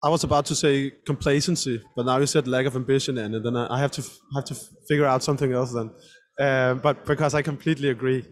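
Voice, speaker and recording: A man answering on an indoor conference panel, speaking haltingly with many pauses and restarts. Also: medium pitch, fairly even intonation.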